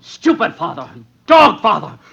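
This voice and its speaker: A cruel, hot-headed teenage village boy, pitched high with wide swings. He is theatrical and insistent, in the manner of old radio drama. The recording is muffled and dated, with a bright, forceful edge to the voice. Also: very animated, gravelly, exaggerated voice. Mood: furious. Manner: theatrical, insistent, quick to rage. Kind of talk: 1950s radio drama acting.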